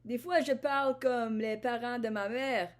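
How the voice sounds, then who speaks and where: High-voiced woman from Ottawa, musing aloud. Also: fairly even intonation, unhurried. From Ottawa, Canada. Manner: introspective, repeats and restarts phrases. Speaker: vlogger. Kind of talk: rambling personal vlog monologue.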